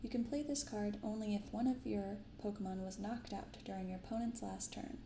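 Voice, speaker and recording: A soft-spoken woman hosting an ASMR unboxing, reading and commenting gently and without hurry. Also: medium pitch, steady tone, brisk, vocal fry, roomy sound, noisy background. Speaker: card unboxing video host. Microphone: binaural microphone close up.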